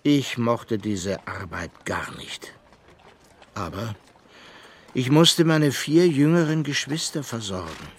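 An actor playing a wistful older brother who narrates a fairy-tale adventure in a children's radio play, measured and expressive. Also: male voice, mid-range voice, husky, full character voice. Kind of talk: scripted first-person storytelling in children's radio play.

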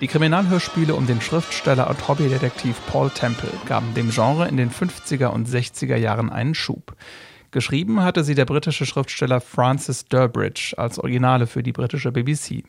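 A man narrating a scripted radio documentary in a measured, factual way. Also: medium pitch, vocal fry, slightly rough, faint music behind.

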